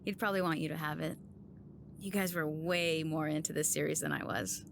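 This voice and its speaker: A woman playing a grieving, sharp-tongued young female agent in an audio drama, blunt and defiant in manner. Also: sits low, fairly even intonation, fairly quick, creaky. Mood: subdued, wistful. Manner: blunt, sarcastic, swearing. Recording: noisy ambience behind the voice.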